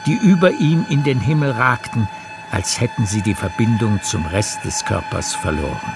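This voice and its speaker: A man reading scripted literary narration for a radio drama in a measured storytelling manner. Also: mid-range voice, expressive, creaky, heavy background noise.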